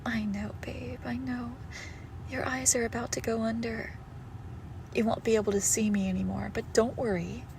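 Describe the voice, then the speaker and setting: A woman's voice: on the high side, steady tone, moderate pace, background noise, close to an everyday voice. A woman playing a possessive young female shadow monster posing as a doting girlfriend in an intimate horror audio roleplay, speaking tenderly and menacingly, often slipping toward a whisper. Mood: possessive, sweet.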